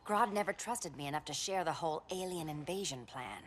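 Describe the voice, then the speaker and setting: Low-voiced, sombre vigilante. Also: female voice, animated, fairly quick. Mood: bitter. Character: disillusioned, resolute female undercover vigilante. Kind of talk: video game cutscene acting. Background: faint ambient noise.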